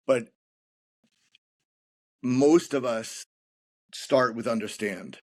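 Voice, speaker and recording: A man speaks as a guest on a business podcast, laying out his methods. His voice sits at a middling pitch, and he often repeats words and restarts phrases as he explains. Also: extremely slow, darkish tone. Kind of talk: interview answers on leadership.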